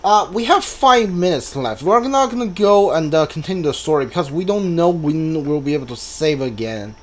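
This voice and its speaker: A man's voice: on the high side, lively intonation, moderate pace, a little hiss or hum. A man giving casual gameplay commentary, muttering his moves aloud as he thinks them through. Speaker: gamer playing through a role-playing game. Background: game music.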